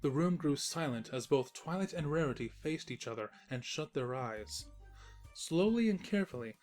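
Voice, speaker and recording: Casting: female narrator for a comic story reading, deep register, animated intonation. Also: normal speed, faint music behind.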